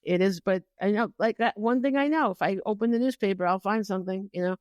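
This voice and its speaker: A woman's voice: mid-range voice, fast, a little mellow, no echo, lo-fi sound. A woman hosting a podcast, chatting casually and opinionatedly in an exasperated way with lots of rhetorical questions. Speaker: podcast host.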